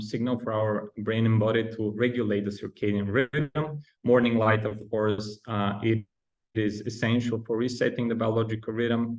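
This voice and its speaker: A man with a Bulgarian accent talks as a podcast guest in a fairly low voice. He explains things carefully, pausing on ums and restarting his sentences. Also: a little mellow, rough recording. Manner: hesitant, many ums and restarts. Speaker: guest researcher. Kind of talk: podcast interview.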